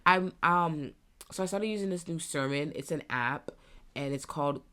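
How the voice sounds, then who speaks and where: A young woman in her twenties with a stuffy nose, hosting a casual solo podcast and thinking out loud as she rambles. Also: low-pitched, normal speed. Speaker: podcast host.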